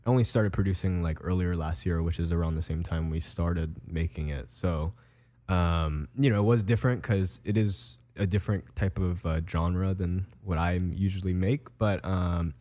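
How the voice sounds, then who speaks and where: A young man from Hawaii, a music producer giving laid-back, halting interview answers full of fillers. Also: low voice, fairly even intonation, mellow.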